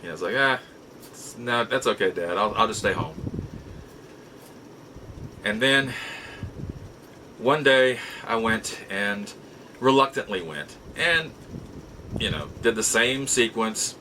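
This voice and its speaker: A man's voice: lowish voice, animated, slow, pauses often, pushed and bright, a little hiss or hum, poor audio. A middle-aged man casually telling personal stories as a show host, rambling in a folksy way and often rephrasing himself. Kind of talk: casual personal storytelling to camera.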